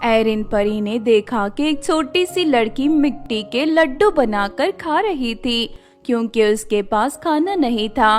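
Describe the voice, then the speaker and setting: A woman's voice: a little high, expressive, slow, soft background music. A woman narrator reading a scripted fairy tale for children.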